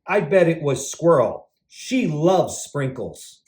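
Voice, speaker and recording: A man's voice: on the high side, very animated, slow, a little mellow. A man voicing an eager, suspicious little sleuth in a children's storybook. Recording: roomy echo.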